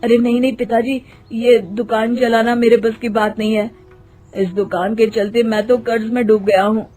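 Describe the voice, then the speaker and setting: A man's voice: extremely high pitch, level tone, moderate pace, a little mellow, faint music behind. A man playing a boastful, naive young shopkeeper son in a cartoon story, showy and exclamatory. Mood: panicked, defeated.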